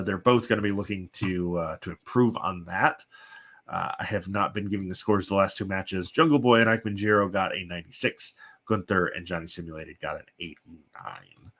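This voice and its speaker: A man with a congested, sniffly voice from a chest cold, hosting and giving casual, halting play-by-play commentary on simulated wrestling. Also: deep voice, a bit bright. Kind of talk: casual live play-by-play commentary with chatty asides.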